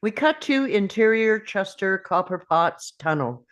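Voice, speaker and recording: A woman's voice: mid-range voice, animated, unhurried. A woman narrating a screenplay's scene directions at a table read.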